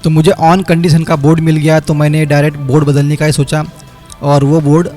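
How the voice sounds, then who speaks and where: A man's voice: a little high, little pitch movement, brisk. Man explaining a phone repair. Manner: explanatory, step by step, addresses viewers as friends. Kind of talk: voice-over repair tutorial. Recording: quiet background music under voice-over. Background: light background music.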